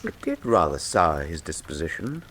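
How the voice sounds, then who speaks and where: An actor playing an urbane, self-assured British detective in a radio drama, suave, theatrical and dryly ironic. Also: male voice, sits low, very animated, normal speed, a bit bright, slightly rough, exaggerated voice. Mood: wry, amused.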